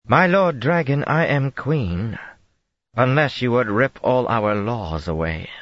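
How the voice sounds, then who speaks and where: A haughty young queen, raised in pitch and pressing hard, her protests flaring high above the storyteller's own voice, as a male narrator puts her on. The audiobook recording is dry and slightly dull. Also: lively intonation, takes it slowly, no echo. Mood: defiant. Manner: haughty, insistent. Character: proud indignant young queen, woman.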